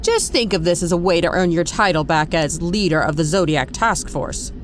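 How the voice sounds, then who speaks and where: An actress playing an authoritative, composed army general in an audio drama. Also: female voice, on the low side, animated, moderate pace, slight background noise, lightly acted. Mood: commanding.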